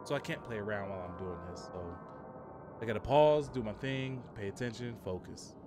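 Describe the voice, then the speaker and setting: A man's voice: fairly low pitch, fairly quick, music in the background. A man streaming a game, giving short clipped voice commands and slangy casual asides.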